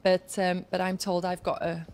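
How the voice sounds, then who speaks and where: A woman's voice: on the low side, moderate pace, slight background noise. A woman in her thirties with a Yorkshire accent, answering interview questions in an earnest, advice-giving way. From Yorkshire, England. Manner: earnest, advice-giving, talks in a steady flow. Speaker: interviewee, former Olympic organising staff.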